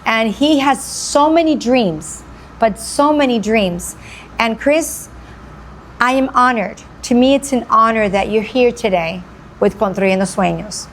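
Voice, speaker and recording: A woman's voice: on the high side, unhurried, slight background noise. A woman hosting a radio interview, gushing and repeating phrases for emphasis. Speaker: radio show host. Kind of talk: enthusiastic interview.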